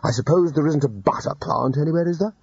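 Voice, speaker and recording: A man's voice: fairly high pitch, fast, gravelly, a little mellow, breathy edge, no echo, pitched above the performer's own voice. A man reading aloud and voicing a fussy, wry older male wizard. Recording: dull lo-fi audiobook recording.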